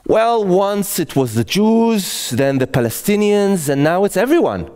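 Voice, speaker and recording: Forties man from Israel, wry solo storyteller, lively pitch swings. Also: measured pace. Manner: wry and self-mocking, with sighs and laughs. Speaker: comedian.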